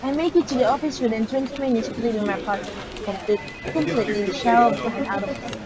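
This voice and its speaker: A woman's voice: on the high side, fast talker. A woman gamer reading visual novel narration aloud, stumbling now and then and adding asides. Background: loud piano music from the game.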